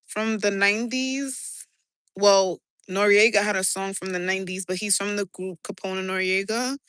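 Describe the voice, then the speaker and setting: A woman with a New York accent, chatting casually and excitably on a live stream from a city rooftop, talking into her phone. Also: mid-range voice, no room sound, studio quality. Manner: chatty, swearing, rambling and excitable. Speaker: livestreamer. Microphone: handheld phone.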